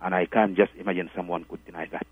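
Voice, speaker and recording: A man's voice: deep voice, flat delivery, fast, slightly rough, dry recording. A man calling into a radio show and speaking with emphatic indignation. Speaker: caller. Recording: phone line.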